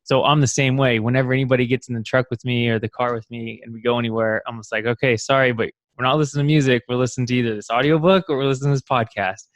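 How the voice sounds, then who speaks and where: Relaxed male podcast host, dry sound. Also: medium pitch, rapid-fire, hardly any pauses, no room sound. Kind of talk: casual interview chat.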